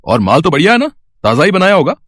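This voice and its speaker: A man's voice: on the high side, very animated, fast talker, a bit bright, dry recording, studio quality. A man playing a wealthy, charitable merchant with a businesslike manner in a moral story. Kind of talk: moral-story cartoon acting. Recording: faint background noise.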